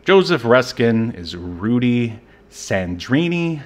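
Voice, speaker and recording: A man's voice: mid-range voice, very animated, slow. A man co-hosting a podcast in casual chat, laid-back and hedging with frequent fillers. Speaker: podcast co-host.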